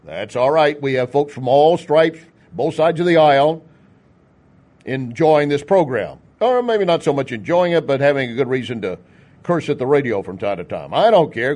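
A man's voice: on the high side, a little mellow. An American man from Texas hosting a political radio show in a conversational, folksy way, with joking asides and rhetorical questions. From Texas, United States. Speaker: talk radio host.

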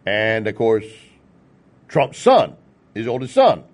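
A man's voice: on the low side, huge pitch swings, slow pace. An American man from Texas hosting a political radio show in a conversational, folksy way, with joking asides and rhetorical questions. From Texas, United States. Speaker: talk radio host.

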